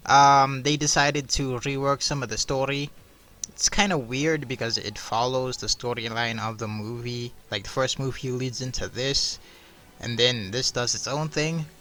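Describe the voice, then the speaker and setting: A man's voice: medium pitch, pushed and bright. A man giving a casual, rambling video review, slangy and sweary, peppered with "bro" and "dude". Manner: slangy, profane, says "bro" and "dude" often.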